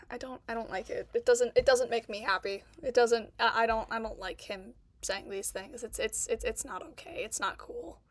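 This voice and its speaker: A woman's voice: on the high side, fairly even intonation, normal speed, dry recording. A woman streamer who stutters, reacting with indignant commentary and often repeating herself.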